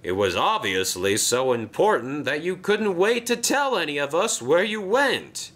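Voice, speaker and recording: A fussy, commanding railway director, put on by a man in a storybook reading. He speaks formally and crisply in a bright voice, with a pitch that climbs and dips dramatically. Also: big pitch swings, heavily acted. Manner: formal, brisk.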